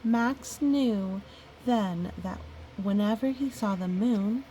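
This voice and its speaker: A woman reads a children's storybook aloud over background noise. Her pace is slow and her delivery gentle, at a middle pitch. She slips easily between the written text and chatty, wondering remarks to a young listener. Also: rough recording. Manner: gentle, musing, chatty asides. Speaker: storybook reader. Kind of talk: read-aloud of a picture book with casual asides to a child listener.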